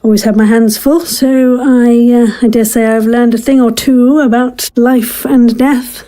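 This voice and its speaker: Woman acting courteous doctor, mellow dark tone, fairly high pitch, hesitant, guarded, formal audio drama. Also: fairly even intonation, measured pace, lightly acted. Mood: polite, guarded. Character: polite, guarded woman doctor, former army surgeon.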